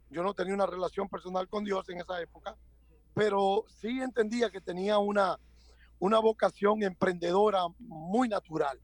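A man's voice: high-pitched, dry recording. A man, a pastor guest telling his story in a podcast interview, joking easily. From Dominican Republic.

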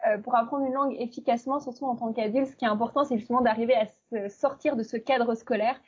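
A woman's voice: a little high, steady tone, quick pace, a little mellow, breathy edge, dull audio. A woman from eastern France, a language teacher, chatting as an interview guest with many fillers and restarts. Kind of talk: casual interview talk.

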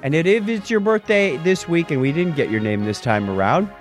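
Animated man hosting a casual podcast. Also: fairly high pitch, a little fast, faint music behind. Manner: wry, jokey explainer. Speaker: podcast host. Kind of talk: casual news chat with banter.

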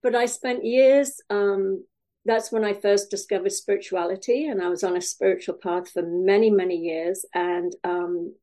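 A middle-aged woman from Wales, a podcast guest telling her story with many pauses, fillers and small laughs. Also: mid-range voice, steady tone, warm tone. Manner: hesitant, many fillers and restarts, laughs often. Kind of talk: podcast interview.

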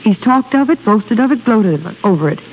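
Actress as breezy, animated young woman. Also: fairly high pitch, close to an everyday voice. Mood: amused. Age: young adult. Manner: brisk, playful, amused. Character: breezy, self-assured young woman, shipping boss's daughter. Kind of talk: 1930s radio comedy-drama acting. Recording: scratchy, narrow 1930s radio recording.

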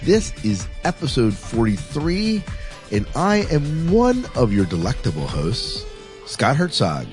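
A man's voice: medium pitch, huge pitch swings, takes it slowly, a little mellow, faint music behind. A man hosting a podcast in a casual, playful, jokey chat style. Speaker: podcast host.

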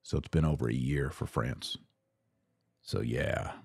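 A man's voice: rumbling low, measured pace, vocal fry, husky, dry recording, clean studio sound. An American man hosting a casual livestream, chatting informally with playful slang as he answers viewers. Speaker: podcast host.

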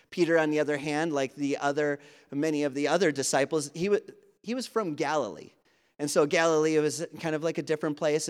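A man's voice: on the high side, clear, resonant tone. Man from Wisconsin preaching in a church hall. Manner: conversational preaching with fillers and asides. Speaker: pastor. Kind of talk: sermon.

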